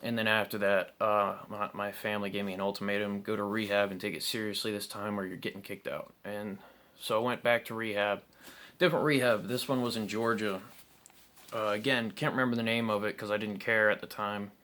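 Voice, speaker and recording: Young male vlogger, low voice, hesitant and frank, lots of pauses and restarts. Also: fairly even intonation, fairly bright tone. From South Carolina, United States. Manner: halting and candid, many ums and restarts. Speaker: vlogger telling his recovery story. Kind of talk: unscripted personal storytelling to camera.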